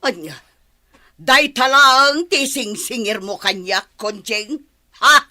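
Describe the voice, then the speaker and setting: A haughty, short-tempered mistress of a wealthy house acted by a woman in an Ilocano comedy radio play. Her delivery is forceful and highly animated, imperious and quick to scold. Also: full character voice. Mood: furious. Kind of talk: comedy radio drama acting.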